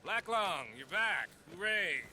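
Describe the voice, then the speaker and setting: A treacherous, sneering outlaw in a video game. He drawls slowly, mocking and taunting in a slightly raised voice. Clear ambient noise and vehicle sounds run behind him. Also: sits high, expressive.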